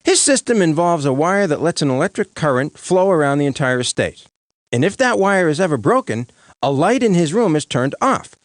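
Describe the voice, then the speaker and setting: Pompous courtroom prosecutor for animation: high, animated, grandiose. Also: male voice, normal speed, dry recording, studio quality. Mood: self-important. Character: pompous, theatrical male prosecuting lawyer. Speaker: lawyer character. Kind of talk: animated courtroom cartoon voice acting.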